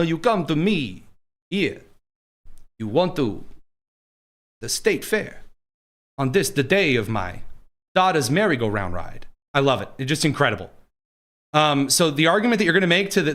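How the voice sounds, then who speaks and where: A man from Indiana hosting a political commentary show, mocking and sarcastic, with quick asides. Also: on the high side, fairly bright tone. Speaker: host.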